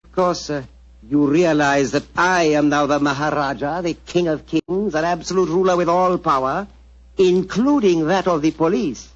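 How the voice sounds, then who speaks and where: An actor playing a suave, haughty royal heir with formal, measured politeness and veiled menace, in 1940s radio drama style. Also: male voice, a little high, lightly acted. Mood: silkily menacing. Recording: dull old 1940s radio recording.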